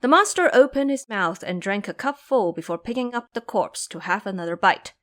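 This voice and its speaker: A woman's voice: medium pitch, animated, brisk. A woman narrating a scripted fantasy story in a dramatic storytelling style.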